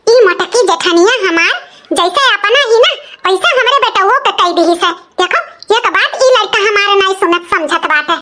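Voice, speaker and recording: A man's voice: extremely high pitch, normal speed, sharp tone, heavily acted. A man voicing a vain, jealous young village woman in a comic cartoon, with an Awadhi accent and a nagging, taunting manner. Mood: bossy, exasperated. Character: vain, jealous, scolding young village sister-in-law, a mother.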